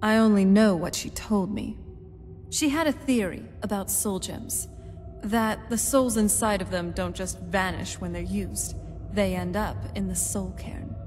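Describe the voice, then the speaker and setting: A woman playing a young-looking, knowing vampire in a fantasy video game, with a dry, measured way of explaining. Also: mid-range voice, steady tone, natural voice. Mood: explaining, serious. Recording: long hall reverb, music underneath. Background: game music.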